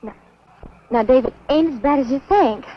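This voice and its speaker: A woman's voice: sits high, normal speed. A woman playing a frightened, pleading unfaithful wife, in 1950s B-movie style. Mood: terrified pleading. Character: frightened, pleading cheating wife caught by her husband. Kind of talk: 1950s B-movie film acting. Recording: muffled, noisy old 1950s film soundtrack.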